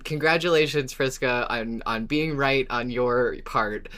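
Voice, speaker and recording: A man's voice: mid-range voice, measured pace, pushed and bright. A man co-hosting a podcast in casual, easily amused chat. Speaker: podcast co-host.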